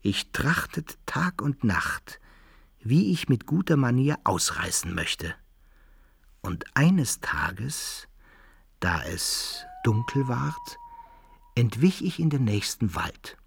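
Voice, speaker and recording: Wry old-tale adventurer, husky, lively intonation, expressive radio drama narration. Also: male voice, medium pitch, slow pace, no room sound, studio quality, exaggerated voice. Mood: wry storytelling. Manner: expressive, storyteller's phrasing. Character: wry male adventurer recounting his own misfortunes.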